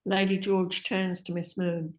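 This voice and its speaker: A woman's voice: lowish voice, little pitch movement, measured pace. A woman reading stage directions as scripted narration for a play.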